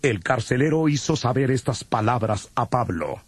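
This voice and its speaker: A man's voice: mid-range voice, expressive, moderate pace, breathy edge, dry recording. A man narrating a dramatized Bible from a script. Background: music.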